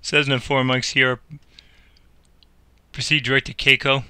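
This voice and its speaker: A man's voice: mid-range voice, flat delivery, measured pace, sharp tone, clean tone, dry recording. A man playing an air traffic controller, mixing radio phraseology with casual commentary on his game.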